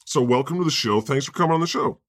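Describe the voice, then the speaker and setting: A man hosting a comedy podcast in the persona of God, joking in a deadpan, self-mocking way. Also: mid-range voice, quick pace, no echo, clean studio sound. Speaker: podcast host.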